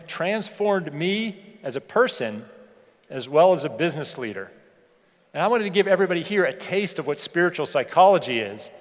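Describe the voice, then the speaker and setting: American keynote speaker, higher-pitched man in echoey hall. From United States (Pittsburgh). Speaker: keynote speaker, leadership educator. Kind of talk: motivational keynote speech with personal anecdotes. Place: large indoor hall, speaking to an audience. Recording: echoey hall with long reverb.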